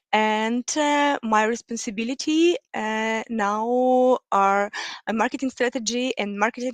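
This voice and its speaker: Woman hosting a webinar, halting. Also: a little high, no room sound. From Ukraine. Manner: hesitant, many fillers, simple non-native English. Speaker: webinar host, marketing manager. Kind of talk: live webinar introduction. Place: office.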